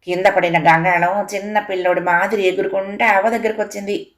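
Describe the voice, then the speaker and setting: A woman's voice: medium pitch, steady tone, fairly quick. A woman narrating a scripted moral folk tale as a storyteller.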